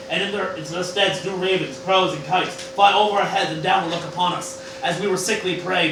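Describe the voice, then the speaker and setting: A man playing a boozy, grandiose old scientist grandfather in an amateur Shakespeare parody radio play. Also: sits high. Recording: roomy, distant, noisy amateur room recording.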